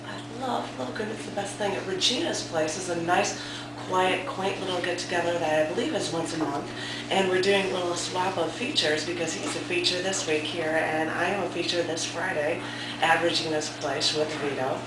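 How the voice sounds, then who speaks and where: A woman's voice: on the low side, steady tone, normal speed. A woman hosting a cafe open mic and reading a poem, her voice scratchy from a sore throat, chatty and self-deprecating. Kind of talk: casual hosting, then poetry reading. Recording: echoey room from far off.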